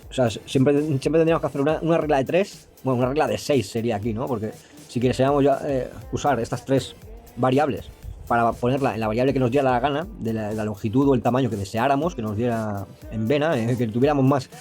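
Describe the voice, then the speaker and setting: A man explaining geometry step by step, pausing and restarting often and stumbling when he reads English passages aloud. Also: medium pitch, darkish tone, soft background music. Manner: hesitant, with frequent restarts and fillers. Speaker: math explainer.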